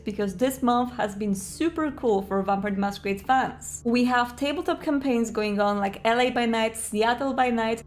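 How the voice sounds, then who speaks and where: Enthusiastic woman with a higher-pitched voice. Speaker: online video host. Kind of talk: upbeat scripted video presentation.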